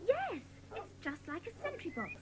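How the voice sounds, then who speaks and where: A cheerful, capable little schoolgirl in a children's audio drama. Her high voice is very lively, with noticeable noise in the background. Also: normal speed, heavily acted.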